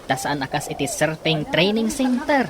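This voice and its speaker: A man's voice: a little high, big pitch swings, normal speed, noisy background. A man acting an eager, encouraging young office buddy in an Ilocano radio drama, coaxing and chummy in an Ilocano accent. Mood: enthusiastic.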